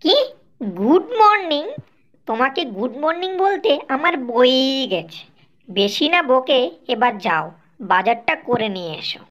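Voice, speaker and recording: নারী শিল্পীর গলায় চড়া গলার মুখরা গ্রাম্য বউ. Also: female voice, sits high, animated, unhurried, a bit bright, lo-fi sound. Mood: scolding. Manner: scolding, sarcastic. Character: sharp-tongued, exasperated young village wife. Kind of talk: Bengali cartoon voice acting.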